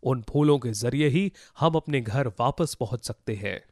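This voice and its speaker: A man voicing a gentle, worried magical blue eggplant in a children's story, speaking earnestly. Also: medium pitch, unhurried, dry recording, studio quality, natural voice. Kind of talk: Hindi children's moral story voice acting.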